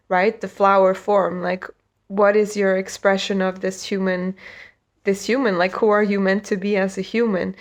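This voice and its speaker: Woman coach, nasal from a cold, flat level delivery, gentle and reflective. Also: medium pitch. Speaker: mental health coach. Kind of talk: casual talk to camera.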